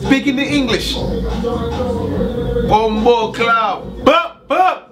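A man with a Jamaican accent hyping and toasting, with loud, drawn-out exclamations. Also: very high voice. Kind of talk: hyping, toasting over a track. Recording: loud music. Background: loud music.

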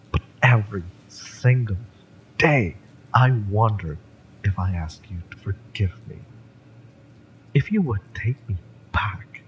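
A man playing a fiery, wounded mercenary in a romance audio roleplay, bitter but lovesick, speaking emotionally and haltingly with sighs and drops into whispers. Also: on the low side, a little hiss or hum, low-quality recording. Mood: pained, regretful.

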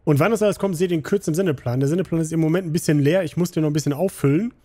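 A slightly hoarse, tired man casually commenting on a game he plays, rambling with many fillers. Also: fairly high pitch, racing, dark tone. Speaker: gaming channel host.

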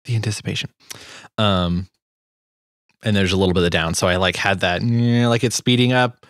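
Young man, low voice, dry studio sound, casual tutorial walkthrough, self-deprecating. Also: steady tone, normal speed, no room sound. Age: 20s. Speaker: sound designer hosting a tutorial. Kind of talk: casual screen-share walkthrough. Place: small home studio room. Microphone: close boom-arm microphone.

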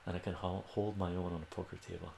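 A man's voice: sits low, little pitch movement, quick pace, gravelly, a little mellow, echoey room, slight background noise. An older man whose first language is Armenian, hosting a casual livestream from a home room, rambling and correcting himself as he answers viewers' questions. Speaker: livestream host.

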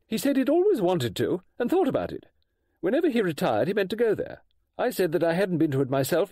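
A man putting on a chatty, high-voiced lady novelist. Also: mellow, no echo, studio quality, full character voice. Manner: rambling, emphatic, high-pitched. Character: brisk chatty middle-aged woman novelist.

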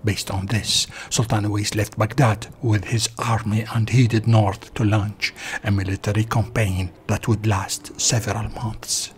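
A man's voice: fairly low pitch, little pitch movement, unhurried, slight background noise. A man reads a scripted historical narration as a documentary narrator. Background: background music.

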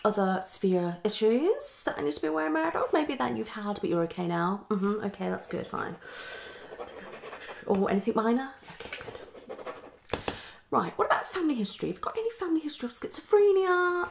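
A British woman plays a psychologist in an ASMR roleplay. Her delivery is theatrical and questioning, with a very mobile melody and a good deal of vocal fry. She is recorded at home with some faint noise behind her. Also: fairly high pitch. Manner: hasty, theatrical, questioning, with many murmured acknowledgements. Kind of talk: ASMR roleplay, one-sided consultation. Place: small living room at home, indoors.

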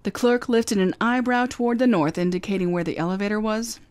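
A woman's voice: medium pitch, brisk, over music, close to an everyday voice. A woman playing a wisecracking young female private detective in a radio drama, telling her story in dry, wry first-person hardboiled narration. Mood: narrating. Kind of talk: 2009 re-creation of 1950 radio drama. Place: recorded live, San Francisco.

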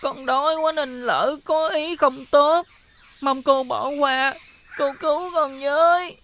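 A woman voicing a hungry young runaway boy in an animated folk tale, childlike and pleading, with a Southern Vietnamese accent. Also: sits high, takes it slowly, slight background noise, heavily acted. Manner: pleading, childlike, polite to elders.

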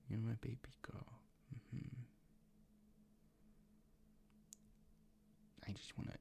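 A man playing a doting, gently dominant boyfriend in an intimate ASMR roleplay, speaking in a soft, coaxing, reassuring way with a breathy, gravelly whisper. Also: mid-range voice, slight background noise. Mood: adoring. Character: doting, gently dominant, teasing boyfriend comforting his partner.

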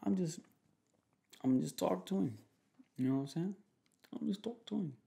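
A young man playing a protective, jealous boyfriend in an ASMR roleplay, talking in soft, slangy, repetitive, teasing phrases. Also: high voice, lively intonation, moderate pace, gravelly, pitched above the performer's own voice. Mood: insistent, protective. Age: young adult. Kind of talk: ASMR boyfriend roleplay, improvised casual chat. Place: small room at home, indoors.

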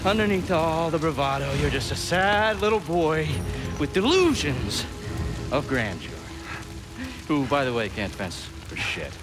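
Arrogant, volatile American game villain, wildly animated. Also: male voice, on the high side, unhurried. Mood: mocking. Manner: sneering, theatrical, volatile. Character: ruthless, arrogant, obsessed rich treasure-hunting villain. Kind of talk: dramatic video game cutscene acting. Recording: loud music under the voice.

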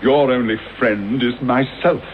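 An actor with a British accent playing a mad, vengeful, gloating villain in a theatrical, wordy radio drama style. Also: male voice, mid-range voice, unhurried, close to an everyday voice. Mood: gloating, mock-mournful. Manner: theatrical, sardonic, elaborately wordy. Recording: dull, noisy old radio broadcast recording.

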